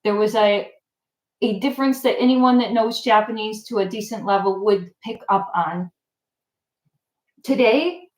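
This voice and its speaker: A woman hosting a casual livestream, chatting with viewers and answering their comments in a relaxed, filler-heavy way. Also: mid-range voice. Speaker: channel host. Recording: echoey room.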